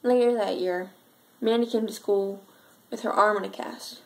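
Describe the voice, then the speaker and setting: A young woman performing an amateur dramatic monologue as a sad, frightened schoolgirl, telling it earnestly with halting pauses. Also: medium pitch, steady tone, darkish tone, close to an everyday voice, poor audio. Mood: subdued, uneasy. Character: sad, frightened schoolgirl recalling her missing friend.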